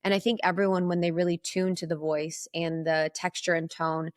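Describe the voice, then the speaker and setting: A woman's voice: on the low side, completely flat delivery, normal speed, no echo, clean studio sound. A woman from Ohio with an Ohio accent, telling a personal story as a podcast guest in a candid, reflective way. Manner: candid, reflective, frequent "like" fillers.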